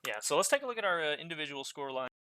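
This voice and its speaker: A man with German as his first language commentates a game live. His voice is fairly high and very bright and forceful. The play-by-play is excitable, over faint background noise. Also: rapid-fire. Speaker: esports commentator.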